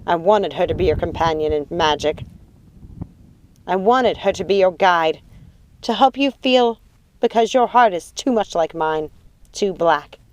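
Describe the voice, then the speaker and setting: A woman's voice: fairly low pitch, a little slow, pauses often, lightly acted, rough recording. Imperious witch mother, played by a female narrator: scornful, possessive, commanding, storybook villainess. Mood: cold, possessive. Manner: scornful, commanding, theatrical delivery. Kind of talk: audiobook character acting.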